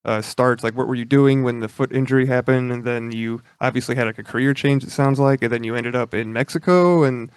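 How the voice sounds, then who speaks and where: A podcast host asking long, hesitant questions full of fillers. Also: male voice, mid-range voice, fairly even intonation, fairly quick, few pauses, clear, resonant tone, no echo. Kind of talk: interview questions.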